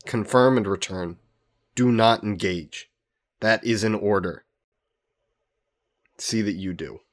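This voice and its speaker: A man acts out an ancient vampire nobleman with a low voice. He is courtly and protective, with a gently teasing streak, and phrases everything formally, as in a romantic audio drama. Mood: stern, commanding, then brooding, uneasy. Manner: formal, genteel, measured phrasing. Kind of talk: scripted romantic audio roleplay.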